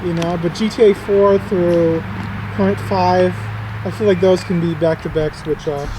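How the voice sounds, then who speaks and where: Vlog host, male, late 20s, high-pitched voice, informal conversational delivery, noisy vehicle setting. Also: steady tone, moderate pace. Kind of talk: casual chat.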